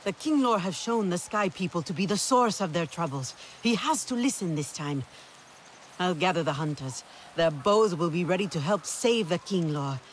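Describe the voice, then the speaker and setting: A woman's voice: on the low side, animated, normal speed, a little hiss or hum, natural voice. A woman playing a fierce, resolute Na'vi hunt leader in a video game, with formal, declarative speech. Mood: commanding. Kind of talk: dramatic video game acting.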